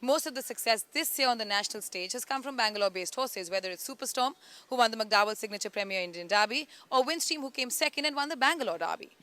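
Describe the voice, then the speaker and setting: A woman hosting a racing show, delivering links briskly and upbeat. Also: fairly high pitch, animated, sharp tone. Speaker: show host. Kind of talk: presenter links.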